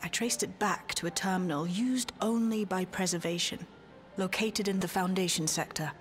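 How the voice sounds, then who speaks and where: An actress playing a confident, clever technical expert in a video game, articulate and matter-of-fact. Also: female voice, lowish voice, normal speed, faint music behind, natural voice. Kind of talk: dramatic video game voice acting.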